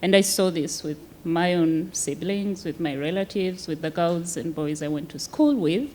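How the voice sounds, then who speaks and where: A woman from Uganda speaking as a panelist in a measured, deliberate way. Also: on the low side, darkish tone, slight background noise, rough recording. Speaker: panelist, health fellow. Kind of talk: panel discussion remarks. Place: luncheon event hall.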